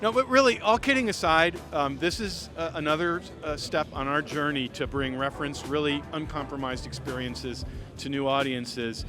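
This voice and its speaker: A man's voice: on the high side, moderate pace. A man presenting products off the cuff as a company representative, with an enthusiastic, salesy delivery. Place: trade show. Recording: music behind the voice.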